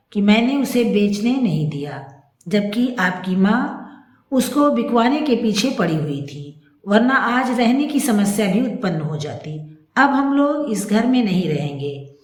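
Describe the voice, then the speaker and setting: Resolute, wronged young mother played by a female narrator, grave and earnest, higher voice, roomy audio story. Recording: echoey room.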